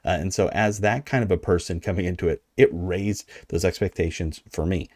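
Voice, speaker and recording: A man's voice: deep voice, steady tone, brisk, a little mellow, no room sound, clean studio sound. An American man hosting a video essay, talking in a casual, conversational and slightly wry way. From United States. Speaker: video host.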